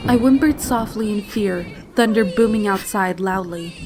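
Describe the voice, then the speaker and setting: A woman's voice: medium pitch, moderate pace, lightly acted. Woman as a frightened pony filly over noisy effects. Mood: terrified, tearful. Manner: solemn, dramatic, emotive delivery. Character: frightened little pony filly, tearful and trembling. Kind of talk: first-person dramatic fanfiction horror narration. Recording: clear noise and sound effects behind. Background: storm and animal sound effects, noise.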